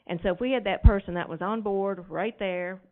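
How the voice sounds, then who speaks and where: A school principal, a woman, speaking loudly and frankly to a school board. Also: medium pitch, a little fast. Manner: loud, lively and frank. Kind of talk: speaking before a board meeting. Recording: tinny lo-fi meeting audio.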